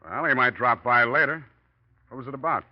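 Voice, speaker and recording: A man's voice: medium pitch, brisk, lightly acted. A man playing a scheming, nervy office manager in a 1940s radio noir, curt and hesitant by turns. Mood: guarded. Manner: hesitant cover-ups, curt snapping. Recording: muffled old radio recording.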